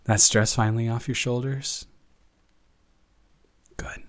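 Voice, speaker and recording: Man performing as young male roommate, devoted, flustered, affectionate teasing, halting rambling speech, mid-range pitch, intimate audio roleplay. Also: fairly even intonation, natural voice. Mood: tender, hopeful. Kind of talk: improvised intimate boyfriend roleplay, conversational.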